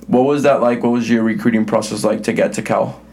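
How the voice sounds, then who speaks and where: A man's voice: medium pitch, steady tone, quick pace, vocal fry, a little mellow. A young man, raised bilingual in English and Spanish, hosting an interview in a chatty way, full of "like" and restarts. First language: English and Spanish both. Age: young adult. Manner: chatty, filler-heavy, with restarts. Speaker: podcast host, college soccer player. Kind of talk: casual interview chat.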